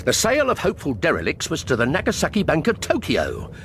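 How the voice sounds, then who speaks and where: A veteran police detective of middle age in a radio drama, pompous and sure of himself. His intonation swings widely over clear vehicle noise. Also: on the high side, wildly swooping pitch, quick pace, fairly bright tone, heavily acted. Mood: sardonic. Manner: pompous, wry.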